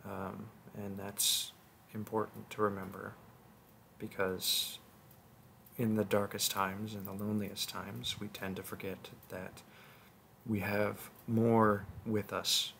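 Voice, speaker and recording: A man gives a reflective outro to his listeners. His voice is soft and fairly low with a creaky grain, and he moves slowly through halting phrases. Faint noise sits behind him. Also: level tone. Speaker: audio roleplay performer.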